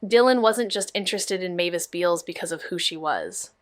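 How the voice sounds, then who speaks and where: A woman acting a quick-witted, playful and determined amateur investigator in a naturalistic mystery audio drama. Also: on the low side, no echo, studio quality, natural voice. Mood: focused, investigative. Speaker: actor in a neo-noir mystery podcast.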